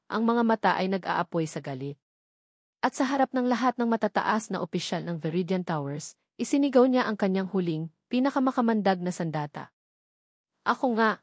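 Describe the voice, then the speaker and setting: A woman narrates a scripted love drama as its storyteller. Her voice sits at a middle pitch, and the recording is dry, with hardly any room sound. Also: a little mellow, no echo.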